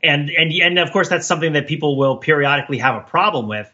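Male podcast co-host with a bright, forward voice, digressive casual delivery, slightly dull audio. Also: fairly high pitch, fast. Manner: rambling, digressive. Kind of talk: casual pop-culture discussion.

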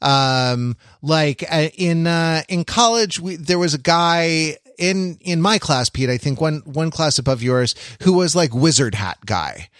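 A man chats casually as a podcast co-host, his voice sitting a little higher than most. He rambles and halts, looping back over his own phrases. The sound is very dry, with no room around it. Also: lively intonation, fairly bright tone, no echo. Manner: rambling, halting, circles back and repeats phrases.

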